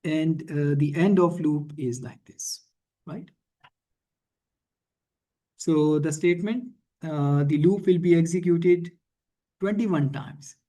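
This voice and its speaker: A man teaching in a screencast tutorial, thinking aloud haltingly and often checking in with a questioning tag. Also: a little high, warm tone. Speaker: instructor. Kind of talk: screencast programming and statistics tutorial.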